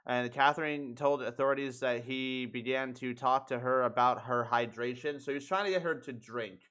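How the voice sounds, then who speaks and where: A man's voice: medium pitch, normal speed, fairly bright tone. A man hosting a casual podcast, reading out a news story and commenting on it in an emphatic, indignant, swearing manner. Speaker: podcast host.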